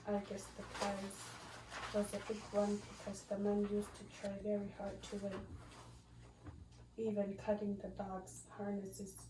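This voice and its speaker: A young woman, a student, reading an elder's life story aloud. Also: medium pitch. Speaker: student reader. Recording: roomy, distant mic, faint noise.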